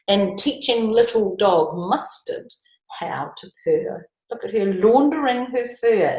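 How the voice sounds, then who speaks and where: Woman reading a storybook aloud, echoey room. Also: mid-range voice. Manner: conversational, explaining, asking tag questions. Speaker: storyteller reading a picture book to children. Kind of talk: expressive read-aloud with chatty asides.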